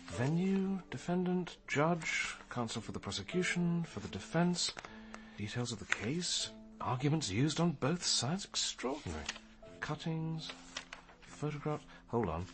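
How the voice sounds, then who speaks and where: An actor playing a thoughtful senior police detective in a radio crime drama, measured and deliberate. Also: male voice, fairly high pitch, very animated, music in the background. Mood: absorbed, musing. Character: methodical, thoughtful senior police detective, male, quietly probing.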